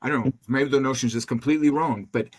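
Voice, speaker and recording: A man's voice: mid-range voice, fast, breathy edge. A man interviewing in a conversational way, tentatively hedging and thinking his questions through aloud.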